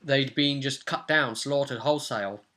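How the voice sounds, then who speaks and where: A man's voice: medium pitch, normal speed, sharp tone. A young man casually recapping a tabletop role-playing session as game master. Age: young adult. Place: small furnished room at home.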